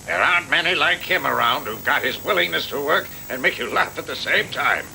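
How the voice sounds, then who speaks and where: A man's voice: fairly high pitch, expressive, a little fast, vocal fry, pushed and bright, full character voice. A man voicing a worldly, cautioning character in an English anime dub, heard from an old videotape. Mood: approving. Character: worldly, cautioning adult male associate of the doctor. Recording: noisy old VHS tape dub.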